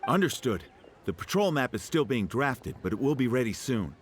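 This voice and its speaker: A man's voice: mid-range voice, very animated, normal speed, slight background noise, close to an everyday voice. A man voicing a dutiful, deferential aide to a noble official in an anime game, polite and formal. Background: music.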